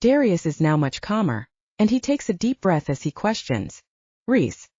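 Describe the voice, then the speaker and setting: Husky woman narrating an audiobook. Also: fairly low pitch, sing-song, normal speed, mellow, dry recording, highs cut off. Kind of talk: dramatic scripted fiction narration.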